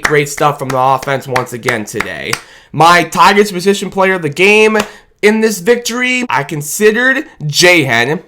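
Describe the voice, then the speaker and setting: A man with a hoarse, rough voice, hyping up his team's win in a fan reaction vlog, shouting and drawling his cheers. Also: a little high. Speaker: sports fan video host.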